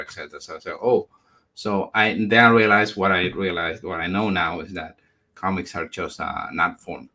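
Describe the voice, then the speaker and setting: Low, bright-voiced man in his forties. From Spain, raised in the United States. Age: 40s. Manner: halting, repeats words while searching for phrasing. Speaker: interview guest, comic book creator. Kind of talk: conversational interview answers.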